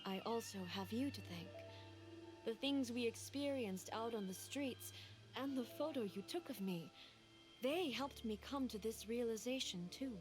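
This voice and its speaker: A woman voicing a composed, regal female deity in a game, measured and formal. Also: fairly high pitch, lively intonation, natural voice. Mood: solemn. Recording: game audio with music behind.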